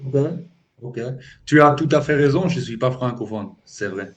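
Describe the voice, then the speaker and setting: A man's voice: medium pitch, moderate pace, lo-fi sound. A man on a video call, chatting casually and playfully quizzing his partner with questions. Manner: playful, testing the other with questions. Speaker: polyglot video host. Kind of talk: casual chat.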